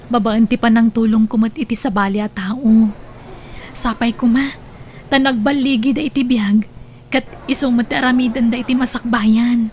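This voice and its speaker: A woman's voice: a little high, fairly even intonation, moderate pace, slight background noise, close to an everyday voice. Woman playing a kind, generous young niece. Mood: grateful. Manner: warm, cheerful, calls out loudly. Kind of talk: audio drama acting.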